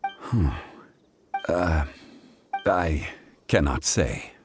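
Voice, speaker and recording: An actor playing a calm, solemn ancient god in mortal guise in a video game, speaking in a measured, formal, reflective way. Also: male voice, rumbling low, very noisy, exaggerated voice. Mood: reserved. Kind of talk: video game dialogue acting.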